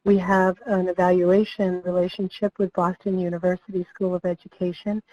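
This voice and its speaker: A slightly hoarse woman, a project director, welcomes people to a training webinar and gives an overview, friendly and with frequent ums. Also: on the low side, little pitch movement, fairly quick, darkish tone, dry recording, dull audio. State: slightly hoarse, losing her voice.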